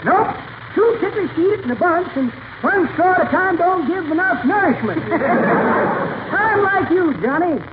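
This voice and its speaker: A man's voice: lively intonation, unhurried. An actor playing a folksy, rambling old man who spins tall tales and chuckles at his own jokes in 1940s radio comedy. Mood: jovial joking. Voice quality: high-pitched old-timer voice. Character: cackling old man, folksy former stable boy spinning tall tales. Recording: tinny, noisy vintage radio broadcast.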